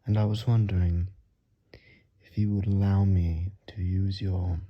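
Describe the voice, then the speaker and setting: A courteous gentleman vampire, acted by a man for an ASMR roleplay, speaks in a low, breathy half-whisper. He takes his time, ingratiating and old-fashioned, with hesitant flattery. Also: a little slow, muffled recording. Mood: apologetic, polite. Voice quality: soft, breathy, often whispered.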